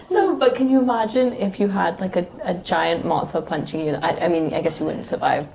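A woman's voice: on the low side, fairly quick, vocal fry, darkish tone, slight background noise. Giggly enthusiastic woman guest. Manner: giggly, enthusiastic, rambling with fillers. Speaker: podcast guest. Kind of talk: casual comedic chat.

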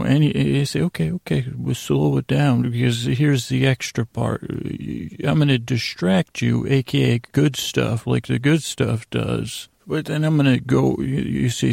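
A man with a deep-leaning, heavily creaky voice delivers a bedtime monologue. His tone is soft-edged and dark, and he wanders haltingly from one half-finished sentence to the next, as the host of a sleep podcast. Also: dry recording, studio quality. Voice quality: gravelly, creaky. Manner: meandering, halting, trailing off mid-sentence.

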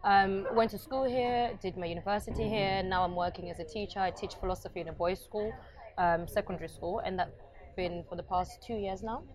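Fast-talking young woman, busy background. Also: medium pitch, a crowd in the background. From Zimbabwe, moved to London at eleven. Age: 20s. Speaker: podcast guest, teacher. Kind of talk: casual interview chat.

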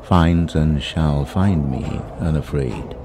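A man giving a solemn, dramatic inspirational poetry reading, slow and deliberate with long pauses. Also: rumbling low, over music. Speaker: poetry reader.

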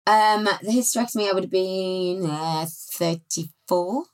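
A woman's voice: medium pitch, measured pace, smooth. Chatty woman in her forties. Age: 40s. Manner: chatty, self-mocking, laughs easily. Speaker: guest. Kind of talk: casual chat.

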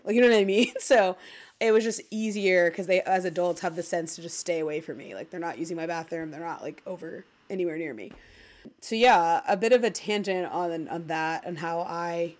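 A woman co-hosting a casual podcast chat, her voice sitting a little low. She rambles freely, peppering her talk with "like", and sounds slightly congested. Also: darkish tone. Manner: chatty, full of "like" fillers. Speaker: podcast co-host.

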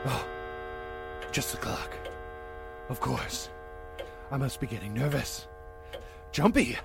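An actor playing a nervous, hunted man who fears murder, talking to himself in halting, panicky bursts in a radio drama. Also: medium pitch, animated, vocal fry, music in the background. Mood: jumpy, nervous. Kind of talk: 1940s radio drama acting.

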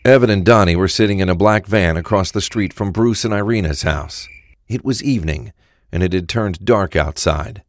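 A man's voice: low voice, normal speed, dry recording, clean studio sound. A man reading scripted narration for a crime audio drama.

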